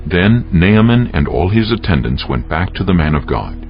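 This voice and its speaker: A man's voice: low-pitched, big pitch swings, moderate pace. A man narrating dramatized scripture from a script. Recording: dull lo-fi, music underneath.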